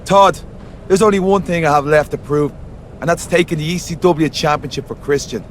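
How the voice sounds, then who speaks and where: A man's voice: a little high, moderate pace, natural voice. A man playing a menacing, ambitious villain wrestler in a video game, with faint noise behind. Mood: threatening.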